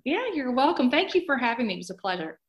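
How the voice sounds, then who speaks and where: A woman's voice: sits high, sing-song, quick pace, dull audio. A woman author talking as a guest in a conversational interview.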